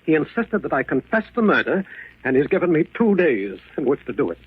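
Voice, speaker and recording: Worried architect, husky, animated pitch, earnest, pleading, vintage radio drama, muffled recording. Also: male voice, a little fast. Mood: agitated. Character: harried, frightened architect pleading he is innocent. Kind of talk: 1940s radio drama acting. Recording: muffled, band-limited old radio recording.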